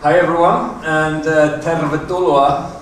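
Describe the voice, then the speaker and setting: A middle-aged man giving a conference talk in a large hotel room, halting with many fillers and restarts. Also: fairly high pitch, level tone. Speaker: conference presenter. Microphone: room audio from a far camera. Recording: echoey, distant room recording with noise.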